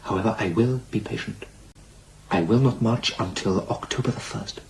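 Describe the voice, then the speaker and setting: A man's voice: on the low side, moderate pace, gravelly, darkish tone, slight background noise, rough recording. A man playing a volatile middle-aged German dictator in a radio drama, speaking emphatically and declaiming his points. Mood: insistent.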